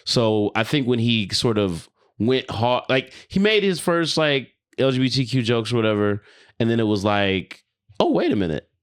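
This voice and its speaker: A man's voice: mid-range voice, animated, normal speed, clean tone. A man co-hosting a podcast, chatting casually and giving his opinions in slangy talk peppered with swearing. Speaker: podcast co-host.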